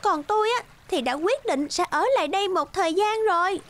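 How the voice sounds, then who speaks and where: High-pitched, smug lazy cartoon swan. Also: female voice, brisk, a bit bright. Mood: smug, breezy. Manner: breezy, self-satisfied, exclaiming.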